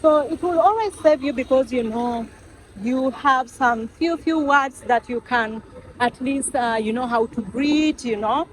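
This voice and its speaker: High-voiced chatty woman vlogger. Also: a little slow, slight background noise, rough recording. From Uganda. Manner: chatty, addresses viewers as guys, laughs often. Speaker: travel vlogger. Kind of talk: casual vlog chat to camera.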